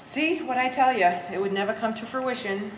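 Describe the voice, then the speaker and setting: A woman's voice: a little high, normal speed, lightly acted. A woman playing a resentful, bookish adult daughter in a stage play, speaking with wordy sarcasm. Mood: bitter. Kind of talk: live stage play acting. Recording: echoey hall, distant mic, faint noise.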